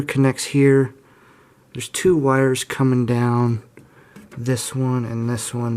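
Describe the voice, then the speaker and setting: A man's voice: mid-range voice, a little mellow, a little hiss or hum. A man in his early twenties giving a casual, unscripted show-and-tell as a hobbyist video host, often unsure and self-deprecating. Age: early 20s.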